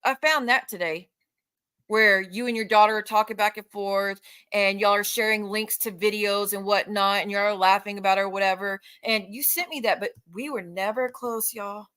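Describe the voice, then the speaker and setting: A woman's voice: medium pitch, fairly even intonation, moderate pace, pushed and bright, clear, resonant tone. A woman streamer ranting off the cuff to her viewers, confrontational and sarcastic, swearing heavily. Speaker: online streamer addressing viewers. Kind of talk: unscripted live rant, addressing viewers directly.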